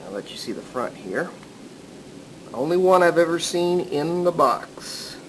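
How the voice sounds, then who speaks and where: Man giving a demonstration, clearly noisy recording. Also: a little high, expressive, unhurried, a bit bright. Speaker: collector showing a vintage radio. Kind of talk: casual hands-on show-and-tell narration.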